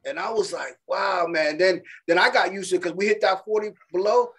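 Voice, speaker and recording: A middle-aged man with a slightly high voice co-hosts a podcast over a webcam call. He tells stories in a relaxed, chatty way, often dropping "you know what I'm saying" and "man." His talk is loose and conversational. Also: a little fast, gravelly, breathy edge. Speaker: podcast co-host. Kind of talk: casual interview chat. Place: indoor room with virtual backdrop. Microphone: webcam on a video call.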